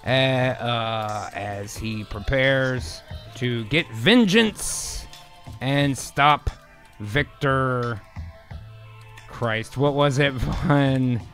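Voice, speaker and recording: A man's voice: medium pitch, very slow, fairly bright tone, soft background music. A man hosting an improv show, chatting casually and reading out script as narrator. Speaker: host and narrator. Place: indoor home streaming setup.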